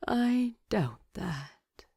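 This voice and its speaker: A woman voicing a sleepy, gently teasing male hobbit with a soft manner in a narrated story. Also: medium pitch, sing-song, extremely slow, creaky, slightly rough, no room sound, studio quality, exaggerated voice. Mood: slurred, sleepy.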